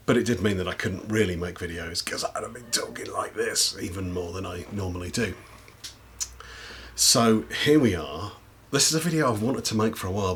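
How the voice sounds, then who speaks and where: Guy rambling to camera, bit husky, deep voice, faint hiss behind. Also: a bit bright. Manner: chatty, rambling, self-deprecating with filler ums. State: slightly husky after lost voice. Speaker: YouTuber. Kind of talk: casual recommendation chat to camera. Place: indoors at home desk with big lights.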